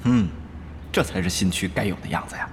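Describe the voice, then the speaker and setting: An actor playing an earnest, easily flustered guild leader in an online game, stammering and exasperated, in a Chinese radio drama. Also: male voice, low voice, a little fast, a little hiss or hum, lightly acted. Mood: pleased.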